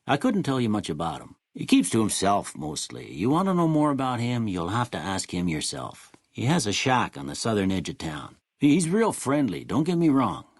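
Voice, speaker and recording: Old country doc from a video game, american, deep husky voice, kindly n weary, folksy drawl, dry close sound. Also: male voice, no room sound, exaggerated voice. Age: older. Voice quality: husky, gravelly. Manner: folksy, rustic, plain-spoken drawl. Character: kindly, world-weary old small-town doctor, folksy, plain-spoken. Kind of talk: video game character dialogue.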